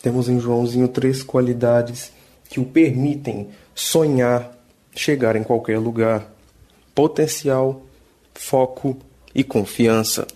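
A male sports reporter reading interview questions and scripted narration in a measured, formal way. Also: medium pitch, fairly even intonation, mellow.

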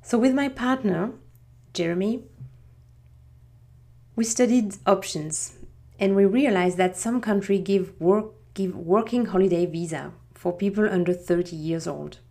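Middle-aged French-accented woman, native French speaker, podcast host, slow and reflective storytelling. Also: mid-range voice, dark tone. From France. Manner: unhurried, reflective, with frequent restarts.

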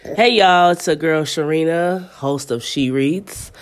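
A woman's voice: deep voice, takes it slowly. A woman in her thirties hosting a podcast from home, chatting loosely with listeners and reading aloud, her voice stuffy and congested. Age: 30s. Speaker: podcast host. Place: at home in an apartment.

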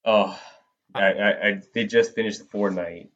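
Deep-voiced man, dull lo-fi audio. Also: steady tone, measured pace, a bit bright. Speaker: podcast co-host. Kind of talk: casual chat about movies. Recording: dull, lo-fi band-limited audio.

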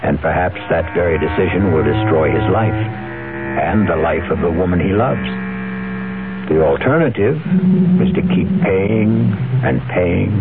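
A man hosting a radio drama with grave, deliberate, ominous scripted narration. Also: low-pitched. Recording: narrow old radio, loud music behind.

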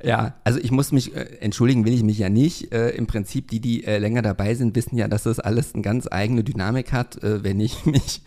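A man's voice: medium pitch, steady tone, fairly quick, few pauses, mellow. A man hosting a casual podcast interview, chatty, with many fillers and self-corrections. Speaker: podcast host. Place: room in a Berlin flat.

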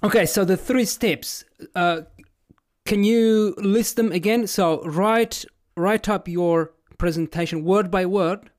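Guy hosting, slightly high voice, laid back, cracks jokes. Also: slow pace. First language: Italian. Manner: relaxed, joking, asks short questions. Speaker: host, language teacher. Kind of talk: casual interview.

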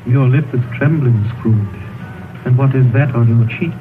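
An old, narrow-sounding radio broadcast with music underneath carries a solemn spirit, acted by a man. The mid-pitched voice is stern and commanding, pronouncing each question in a declamatory radio-play manner. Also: normal speed, lightly acted. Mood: probing. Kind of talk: old-time radio drama acting. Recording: old radio broadcast, thin band-limited, music behind.

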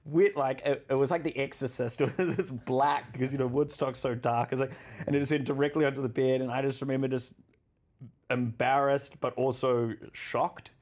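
A man's voice: medium pitch, steady tone, moderate pace, darkish tone. A man in his thirties with a mid-range voice, talking candidly as a podcast guest. He rambles through his stories with plenty of fillers and laughs along the way. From New Zealand. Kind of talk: candid personal storytelling.

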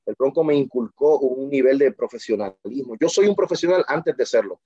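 A confident man tells his stories emphatically, stumbling and restarting as he goes. His voice is dark and husky. The sound is dry and dull. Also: medium pitch, expressive, fairly quick, no room sound. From Dominican Republic. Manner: emphatic, self-assured, full of restarts. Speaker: interview guest. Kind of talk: casual interview storytelling.

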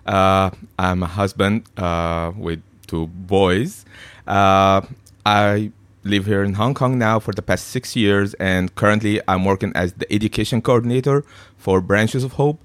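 Man from Egypt, thirties, lowish voice, halting with fillers, dry close recording. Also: steady tone, dry recording. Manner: hesitant, many fillers and restarts. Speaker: podcast guest. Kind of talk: conversational interview.

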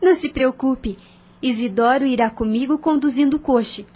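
An actress playing a kind, reserved young widow in a radio soap, speaking politely and formally. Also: female voice, high voice, moderate pace, warm tone. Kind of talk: radio soap opera acting.

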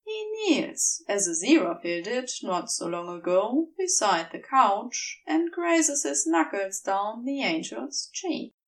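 A woman reading scripted fiction as a narrator, in a gentle, measured storytelling manner. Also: fairly high pitch, big pitch swings, far from the mic, low-quality recording. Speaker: podfic narrator.

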